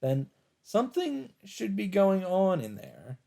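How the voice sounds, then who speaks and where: A man doing a let's play, reading a visual novel's narration aloud in a measured storytelling delivery. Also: high voice, huge pitch swings, vocal fry, mellow, slight background noise.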